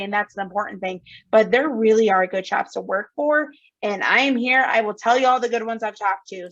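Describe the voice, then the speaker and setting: A woman's voice: a little high, fairly bright tone. A woman with an Upper Midwest American accent, a guest on a podcast, talking in an earnest, emphatic way and repeating words for stress. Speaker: guest, sales director. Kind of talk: casual podcast chat. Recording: slightly dull lo-fi sound.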